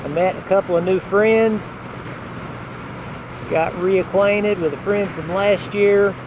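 A man narrating his motorcycle ride from a helmet mic in a relaxed, unhurried way, with wind and engine noise. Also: high voice. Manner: relaxed, unhurried, talks while riding. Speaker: motovlogger. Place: on a motorcycle outdoors. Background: road and wind noise.

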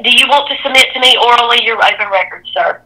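A woman with a very bright, sharp, fairly high voice. She talks on the phone like an office receptionist, firm and procedural. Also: quick pace. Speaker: district attorney's office receptionist. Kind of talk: phone conversation.

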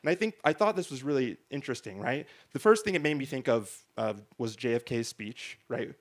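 A man's voice: medium pitch, expressive, a little fast. A man moderating a panel discussion on stage in front of an audience, talking into a handheld mic in a conversational way, often asking "right?" and restarting his sentences. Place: large hall stage before an audience.

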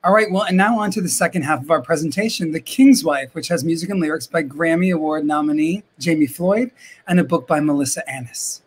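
A man's voice: a little high, fairly quick, breathy edge. A man in his forties hosting a live video-call interview from home, enthusiastic and full of fillers. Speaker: host. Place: home room with brick wall. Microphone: video call webcam.

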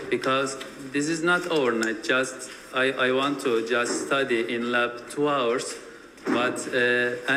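A young man from Turkey with a Turkish accent, a Turkish native speaking halting English with many fillers before a judge. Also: medium pitch. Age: young adult. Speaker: student in court. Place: courtroom. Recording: reverberant courtroom with steady hiss.

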